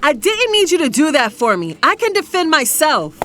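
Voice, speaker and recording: Lady acting as sassy defiant young office woman, really high lively voice, audio drama, faint noise. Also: normal speed. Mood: defensive, upset. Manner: sarcastic, emphatic. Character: proud, flustered professional young woman, defiant employee.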